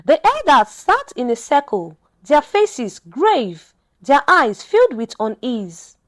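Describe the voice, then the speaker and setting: High-pitched, animated woman telling a folktale. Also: slow pace. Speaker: storyteller. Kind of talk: scripted folktale narration.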